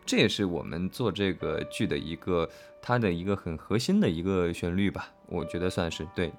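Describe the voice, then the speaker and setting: Low-voiced man chatting, music behind. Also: animated, moderate pace. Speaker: host reacting to audio drama clips. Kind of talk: casual chat and live reaction commentary.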